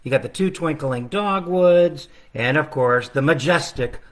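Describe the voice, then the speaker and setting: A man's voice: fairly high pitch, measured pace. A man reading his own picture book aloud at a children's storytime, chatting casually between the verses and stretching out the repeated refrain.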